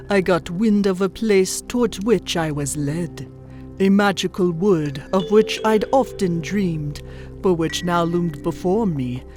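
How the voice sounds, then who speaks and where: Someone playing a slow, rhyming zebra shaman woman. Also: mid-range voice, natural voice. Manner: measured rhyming verse in steady meter. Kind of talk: dramatic fan fiction audio reading.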